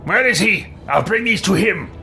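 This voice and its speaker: A man playing a measured, deliberate male adventurer in a fantasy game roleplay, with a gravelly voice. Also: sits high, animated, bright, forceful tone, voice pushed up, exaggerated voice. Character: measured male adventurer hero, a Grey Warden. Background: game music.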